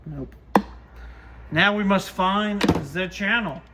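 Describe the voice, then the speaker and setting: A man's voice: high voice, slow pace. A man casually thinking aloud in short, muttered remarks as he demonstrates and tests gear by hand. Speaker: radio shop host testing gear. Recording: clear background noise with clicks.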